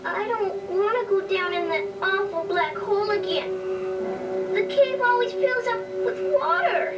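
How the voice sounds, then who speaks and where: A woman's voice: extremely high pitch, a little slow, recorded from a distance. A woman playing a frightened but plucky little orphan girl in a children's read-along story. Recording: echoey old story record, music behind.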